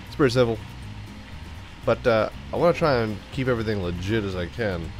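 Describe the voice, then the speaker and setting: A man's voice: on the low side, expressive, brisk, faint music behind, rough recording. A young man with a stuffed-up cold voice, giving jokey let's-play commentary while he plays and riffing to himself. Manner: goofy, jokey, sings and riffs to himself. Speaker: gaming YouTuber.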